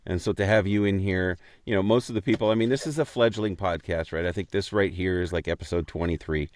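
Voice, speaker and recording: A man with a low voice chats as a podcast co-host, recorded close with very little room sound. He rambles casually and pokes fun at himself in a playful, joking way. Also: fast talker, darkish tone, no echo. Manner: rambling, self-deprecating, playful.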